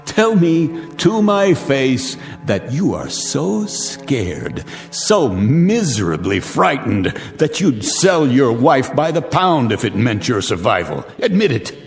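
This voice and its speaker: A sneering doomsday cynic, lively swooping delivery, hall reverb over music. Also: male voice, mid-range voice, slow pace, few pauses. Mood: contemptuous. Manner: sneering, theatrical, mocking. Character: cynical wealthy man gloating over doomsday. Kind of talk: 1980s television drama acting.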